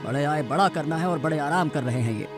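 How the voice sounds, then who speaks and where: A man's voice: fairly high pitch, fairly quick, lightly acted. A man playing an old village farmer father in a cartoon moral story, admonishing and worried. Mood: scolding. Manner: exhorting, admonishing. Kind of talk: animated moral story dialogue. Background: background music.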